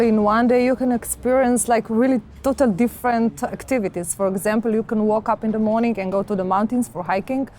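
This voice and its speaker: A woman's voice: a little high, darkish tone. A woman with a Slovenian accent giving casual, conversational interview answers.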